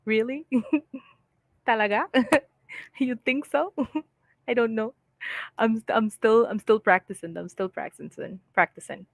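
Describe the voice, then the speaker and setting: A woman's voice: fairly high pitch, unhurried, creaky, pauses often, no room sound. A woman hosting a casual live stream from home, chatting and reading viewer comments aloud with frequent laughs. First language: English.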